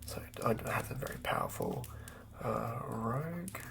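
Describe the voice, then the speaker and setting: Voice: medium pitch, wildly swooping pitch, normal speed, gravelly, background noise. An ASMR host whispers up close, murmuring and thinking aloud in fragments while planning out a tabletop game. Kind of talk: whispered ASMR, improvised villain-building ramble.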